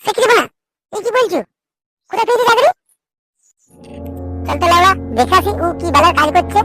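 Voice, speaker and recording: A woman voicing an excitable young male gossip in a comedy cartoon. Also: very high-pitched, a little fast, dry recording, soft background music. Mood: excited. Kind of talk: Bengali comedy cartoon dub.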